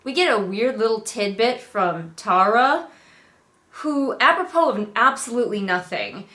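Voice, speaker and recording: A woman's voice: fairly high pitch, expressive, a little slow, pushed and bright. A middle-aged woman giving casual, exasperated read-along commentary on a book, sarcastically acting out quotes as she goes. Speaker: book review host.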